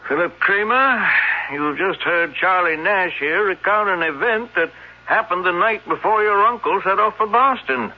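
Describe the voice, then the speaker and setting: High-pitched, insistent small-town lawman. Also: moderate pace. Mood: stern, pressing. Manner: formal, pressing. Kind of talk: 1970s radio drama acting. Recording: muffled old radio broadcast.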